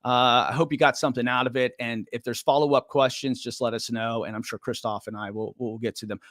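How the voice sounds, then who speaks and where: A man's voice: mid-range voice, steady tone, fairly quick, fairly bright tone, dry recording, studio quality. An older man hosting a live-stream podcast, upbeat and chatty, joking at his own expense. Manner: upbeat, chatty, self-deprecating. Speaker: podcast host.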